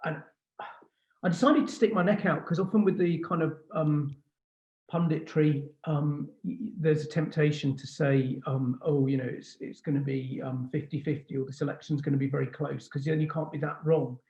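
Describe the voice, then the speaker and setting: A man hosts an informal panel discussion over a call. His voice is fairly high for a man and mellow, with halting phrasing and repeated words. The sound is muffled and echoey. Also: steady tone. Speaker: host, magazine editor.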